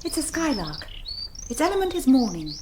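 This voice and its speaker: An actress playing a melancholy aristocratic vampire countess in a radio drama, languid and mannered. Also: female voice, high-pitched, very animated, moderate pace, background noise. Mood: wistful. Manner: languid, mannered, lapsing into breathy near-whispers. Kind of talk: BBC radio drama acting.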